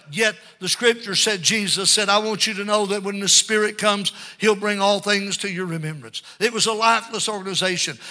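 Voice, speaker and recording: A man's voice: high voice, sharp tone. An older man preaching a fervent Pentecostal sermon, exhorting listeners and punctuating his points with amens and calls for response.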